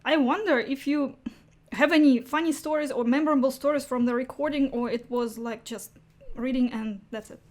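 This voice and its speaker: A young woman with a high voice conducts a casual interview. She phrases things tentatively and drops in small acknowledgements as she listens. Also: steady tone, moderate pace, a little mellow. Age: young adult.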